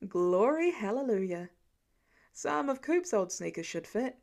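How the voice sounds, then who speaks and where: A woman narrator voicing a guarded, fatherly man in a story, gentle and casually gruff, with coaxing, clipped phrases. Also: mid-range voice, very animated, measured pace. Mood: relieved, concerned.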